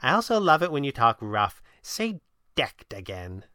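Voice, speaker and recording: A man's voice: mid-range voice, wildly swooping pitch, normal speed, sharp tone, dry recording, studio quality. A man voicing a brash, teasing young woman crimefighter in an audio drama, with a sardonic, slangy manner. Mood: teasing, eager. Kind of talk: audio drama character voice in narrated reading.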